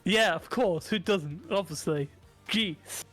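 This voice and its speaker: A man's voice: high-pitched, slow, fairly bright tone, soft background music. A young man co-hosting a podcast from his desk at home, joking crudely and laughing often. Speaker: podcast co-host. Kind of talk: casual banter.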